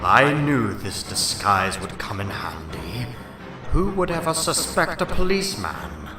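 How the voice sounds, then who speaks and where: Guy doing a smug scheming comic villain, slow swoopy delivery, loud music under it. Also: medium pitch, sing-song, echoey room. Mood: gloating. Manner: scheming, self-satisfied. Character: sly, gloating male comic-book villain, master of disguise. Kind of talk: dramatic comic-book reading.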